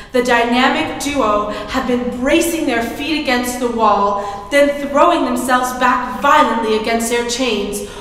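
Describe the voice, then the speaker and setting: A woman's voice: a little high, fairly bright tone. A woman playing the dramatic narrator of an old-time radio adventure serial, performed live on stage. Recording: very echoey hall.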